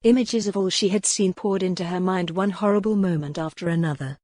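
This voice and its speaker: A woman's voice: lowish voice, a little fast, a little mellow, no echo, studio quality. A woman reading scripted historical romance narration as an audiobook narrator.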